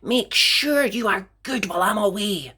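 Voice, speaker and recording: A woman's voice: mid-range voice, expressive, measured pace, pushed and bright, breathy edge, voice pushed up, exaggerated voice. A woman voicing an exasperated, fussy storybook lady who scolds and complains dramatically. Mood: stern.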